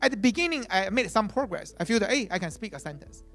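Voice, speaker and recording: Man, native chinese speaker, product officer keynote, conversational, fillers, soft music behind. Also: high voice, sing-song, fast talker. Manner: conversational, frequent "uh" and "right?" tags. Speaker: chief product officer presenting. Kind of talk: conference keynote talk.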